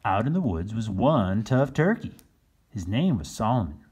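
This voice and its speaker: A man reading a children's storybook aloud in an animated narrating style. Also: on the low side, normal speed, breathy edge. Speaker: storybook reader.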